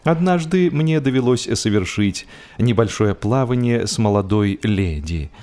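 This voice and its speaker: A man narrating humorous literary prose in an expressive, measured storytelling manner with deliberate pauses. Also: lowish voice. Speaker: audiobook narrator. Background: music.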